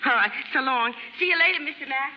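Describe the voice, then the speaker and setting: Scrappy young American chorus girl, played by an actress, high voice, blunt slang, devoted streak, muffled vintage radio drama. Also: fast talker, in a large hall, close to an everyday voice. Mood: eager, blunt. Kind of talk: 1930s radio acting. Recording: muffled, noisy 1930s radio broadcast.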